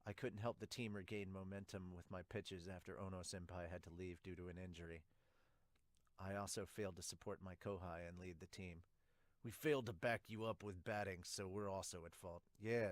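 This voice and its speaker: Earnest young male athlete read by a man, pitched down, subdued and resolute, amateur manga dub, dry sound. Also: fairly even intonation, no echo, natural voice. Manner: subdued, measured. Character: calm, earnest young male baseball player, quietly resolute.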